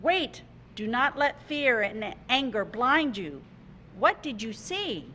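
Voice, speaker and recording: A wise, unhurried frog who guards the water, as a woman reads him in a storybook tale. The voice is bright and a little high, gentle and instructive. It comes over a video call. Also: very animated, a little hiss or hum, exaggerated voice. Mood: calming. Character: wise calm male frog, keeper of water.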